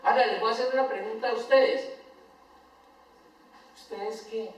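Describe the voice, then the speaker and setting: An older Colombian man preaching a sermon as pastor, swinging between loud emphatic lines and soft tender asides. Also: very high-pitched, steady tone, normal speed. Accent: Colombian Spanish. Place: church sanctuary. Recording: echoey church hall, voice from far off the mic.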